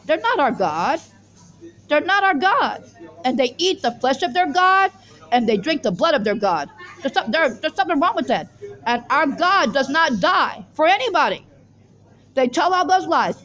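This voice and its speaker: A woman's voice: sits high, a bit bright. A woman giving a rambling, unscripted religious monologue in an insistent, repetitive, disjointed way. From Israel. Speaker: self-styled religious teacher introducing the weekly Torah portion.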